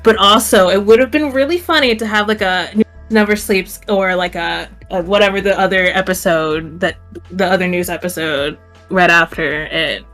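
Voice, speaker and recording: A woman's voice: on the low side, moderate pace, fairly bright tone, soft background music. A woman chatting informally and in a rambling way as a panelist on a fan podcast. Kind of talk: casual fan discussion.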